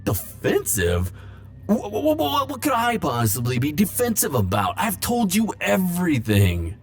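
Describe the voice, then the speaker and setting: A man playing a defensive, lovelorn immortal in an ASMR roleplay, speaking hesitantly with stammers and halting phrases. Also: sits high, huge pitch swings, faint music behind, heavily acted. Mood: defensive, flustered. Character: flirtatious, defensive male immortal pining for Grim Reaper. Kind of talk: ASMR roleplay monologue to the listener.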